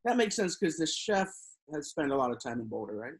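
A man's voice: a little high, sing-song, fairly quick. A middle-aged man interviewing a guest on a video call from a room at home, asking plain conversational questions. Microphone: laptop camera on a video call.

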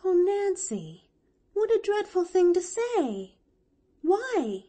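A cheerful little orphan girl from a storybook, acted by a woman, chattering with eager emphasis. Her voice sits high and swings animatedly up and down, earnest and keen in every phrase. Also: big pitch swings, measured pace, darkish tone, heavily acted. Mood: shocked, affectionate. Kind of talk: expressive audiobook character reading.

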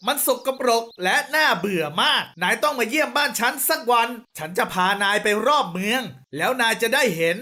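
A woman voicing a small, outspoken, fussy mouse in a children's cartoon fable, with exclamatory, expressive delivery. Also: a little high, a little fast, hardly any pauses. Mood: complaining.

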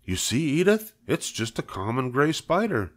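A man's voice: medium pitch, sing-song, normal speed, pitched above the performer's own voice. A man putting on an awestruck earnest farmer. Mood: earnest, insistent. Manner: earnest and insistent. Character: Mr. Zuckerman, awed middle-aged farmer.

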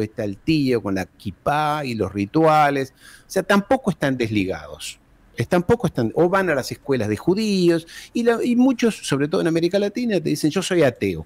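A man talks as a guest historian over a video call. His pitch rises and falls a great deal, and he hammers his points home with rhetorical questions and repeated phrases. The sound is dry and close, from a large desk microphone in a small room at home. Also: darkish tone, no room sound.

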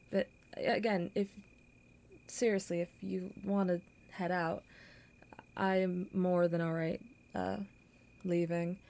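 A young woman playing a kind, awkward popular girl in an audio roleplay, with halting, stammering, flustered delivery. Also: mid-range voice, vocal fry, a little mellow. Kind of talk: intimate audio roleplay acting.

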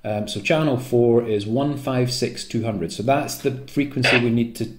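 A man's voice: mid-range voice, normal speed. A man talks through a practical demonstration in a casual, informal way. He explains step by step and often thinks aloud. His voice carries the echo of an indoor room. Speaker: presenter of a radio programming tutorial. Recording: echoey room sound.

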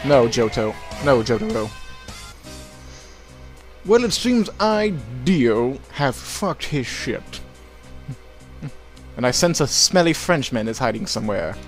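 A man's voice: mid-range voice, sing-song, takes it slowly, pauses often. A man giving casual, joking, profane commentary as he plays a game. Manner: jokey, profane, playfully mimics game lines. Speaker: let's play commentator and player. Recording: game music behind. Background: fighting game music.

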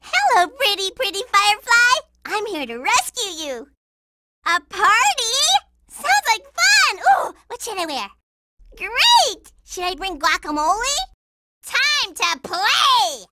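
A bubbly, sweet little girl from a cartoon game. The childlike voice is piercingly bright and swoops up and down as it gushes playfully, taking its time over the words. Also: sing-song, takes it slowly, a little hiss or hum, exaggerated voice. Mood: excited, playful. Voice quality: squeaky, childlike. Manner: singsong, gushing, playful. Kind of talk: cartoon video game voice acting.

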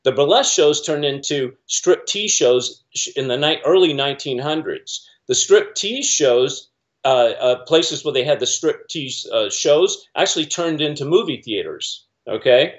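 A man's voice: on the high side, animated, unhurried, highs cut off. A middle-aged man on a video call from a small room at home, talking in an earnest, rambling way with many fillers and restarts. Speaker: guest commentator. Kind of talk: casual video-call discussion.

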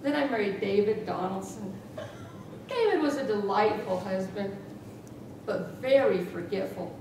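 A middle-aged woman giving a humorous tall-tale speech with theatrical, deliberate delivery and dramatic emphasis. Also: expressive. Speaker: contest speaker. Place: small meeting room with rows of chairs. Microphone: far camera. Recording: echoey room from far off, noisy rumble.

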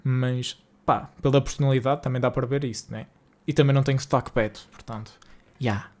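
Mellow-voiced Porto streamer, slangy and exasperated. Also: male voice, mid-range voice, steady tone, brisk. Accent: northern Portuguese, Porto. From Portugal. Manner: casual, slangy, exasperated, joking with chat. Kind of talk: live-stream gaming chat. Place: home streaming room.

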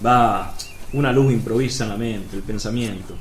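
A man's voice: fairly low pitch, moderate pace, natural voice. An actor playing an indignant, agitated disciple who pleads with his master in a dramatic religious radio play. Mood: reflective, strained. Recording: roomy, with noisy outdoor ambience.

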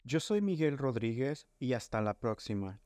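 Dark, dry male voice-over narrator. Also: mid-range voice, sing-song, no echo. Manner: measured, formal delivery. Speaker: segment narrator.

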